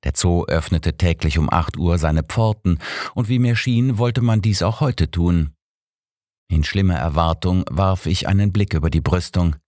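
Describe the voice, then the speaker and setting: A man narrating a detective story as an audiobook, in a measured, dramatic storytelling manner. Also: deep voice, darkish tone, no room sound, clean studio sound.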